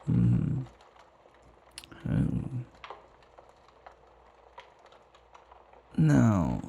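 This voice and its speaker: Man acting a husky, flirty butler. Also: lowish voice, mellow, heavily acted. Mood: teasing, amused. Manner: formal, genteel phrasing with teasing familiarity and sighs. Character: flirty, gossipy, devoted butler, jealously protective of master. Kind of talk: intimate ASMR roleplay acting.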